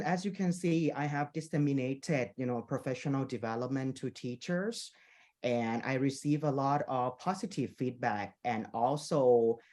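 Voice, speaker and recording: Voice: medium pitch, a little mellow. A speaker from Thailand gives a conference presentation on their work as a teacher trainer. They explain points patiently, lean on "you know," and keep checking in with listeners with "okay?" and "right?".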